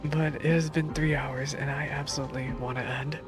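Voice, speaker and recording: A man's voice: fairly high pitch, little pitch movement, a little fast. A man giving casual, playful live-stream reactions to a game, with informal, exclamatory slang. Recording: game music behind voice. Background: game music and dialogue.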